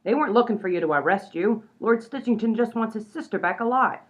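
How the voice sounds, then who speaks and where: A woman's voice: fairly high pitch, animated, fast talker. A woman playing a tough, exasperated gangster sidekick in a radio comedy, nagging in old-time mobster slang. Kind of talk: comedy radio play acting.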